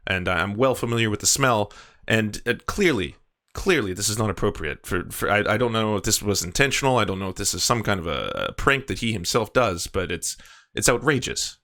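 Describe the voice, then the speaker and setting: A man's voice: fairly low pitch, big pitch swings, brisk, bright, forceful tone. A man making a prank phone call, complaining with earnest, indignant insistence. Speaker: prank caller posing as churchgoing parent.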